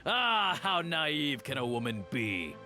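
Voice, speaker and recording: An actor playing a smug, cruel aristocratic baron in a video game, mocking his foes in a theatrical, drawn-out way. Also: on the high side, big pitch swings, over music. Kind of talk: dramatic video game acting.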